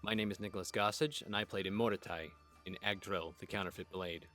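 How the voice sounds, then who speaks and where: A man's voice: low-pitched, steady tone, a little fast, bright, forceful tone, faint music behind. A man, a voice actor, talking conversationally about his craft. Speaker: voice actor and writer. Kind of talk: conversational testimonial.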